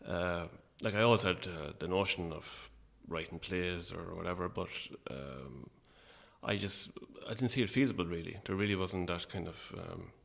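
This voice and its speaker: A man's voice: sits low, normal speed, creaky, a bit bright. A middle-aged Irish man chatting casually and modestly as an interview guest about his work. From Ireland. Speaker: guest, playwright and actor.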